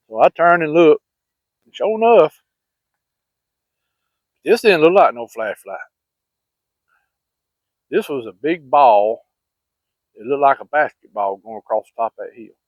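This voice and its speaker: A man's voice: on the high side, no echo. Folksy Southern man on muffled, phone-like audio. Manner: folksy, rambling, plain-spoken. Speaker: vlogger. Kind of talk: casual personal storytelling.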